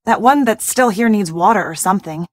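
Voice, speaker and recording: A woman voicing a young, worried and sardonic friend of a detective in a video game, with an expressive, conversational delivery. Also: mid-range voice, a little fast, no echo, clean studio sound, close to an everyday voice. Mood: worried, guilty.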